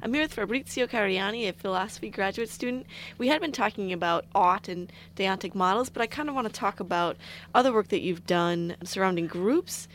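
A woman hosting a campus radio interview show, asking questions and making brisk station announcements. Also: mid-range voice, a bit bright, slight background noise.

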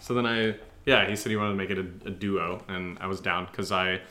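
A man chats casually as a podcast co-host. His voice sits a little on the low side, and he talks in an informal way. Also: steady tone, brisk, sharp tone. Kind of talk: casual chat.

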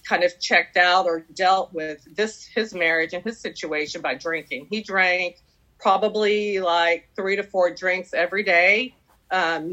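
A woman's voice: mid-range voice, bright, forceful tone. A middle-aged woman from Canada whose first language is French, candidly sharing her personal story at a support meeting. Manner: candid, confessional, reflective. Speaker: recovery group member sharing her story. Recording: dull lo-fi recording.

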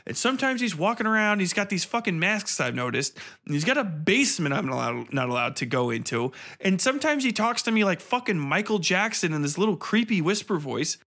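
A man with a slightly high voice talks as a podcast host reviewing a film. He is casual and opinionated, swearing freely and sounding incredulous. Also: very animated, a little fast, few pauses, pushed and bright. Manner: profane, incredulous.

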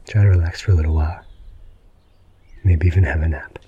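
A man hosting a sleep podcast in a gentle, low-key, meandering way, with a husky, creaky voice. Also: fairly even intonation, a little fast, dull audio. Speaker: podcast host. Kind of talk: slow, soothing bedtime narration.

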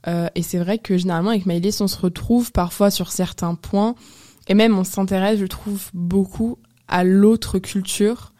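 A woman's voice: mid-range voice, fairly even intonation, moderate pace, warm tone. A young woman from France hosting a podcast, interviewing in a casual, conversational way. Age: young adult. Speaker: podcast host.

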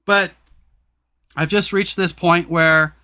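A man's voice: steady tone, takes it slowly, pushed and bright, smooth. A man hosting a casual podcast conversation, talking frankly and swearing freely, rambling with lots of fillers. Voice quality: deep voice. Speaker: podcast host.

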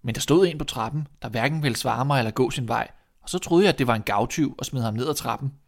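A man's voice: mid-range voice, creaky. Guy doing a naive dim fairytale boy, totally fearless, earnest and blunt. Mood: innocent, explaining. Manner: plain-spoken, guileless, insistent. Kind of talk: fairy tale storytelling.

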